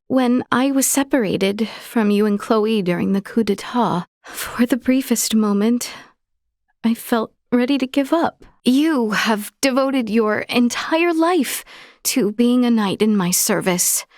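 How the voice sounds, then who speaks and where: A young woman playing a courteous, earnest princess in a video game, speaking in formal, archaic courtly phrases with gracious, measured manner. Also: mid-range voice, no echo, clean studio sound, lightly acted. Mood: solemn, grateful. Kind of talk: scripted video game character dialogue.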